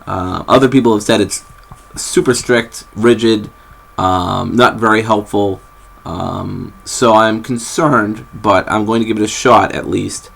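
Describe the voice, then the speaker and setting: Low gravelly young man rambling. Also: steady tone, measured pace, fairly bright tone. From New England. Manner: hesitant, many ums and restarts. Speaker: vlogger. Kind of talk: casual rambling update to camera.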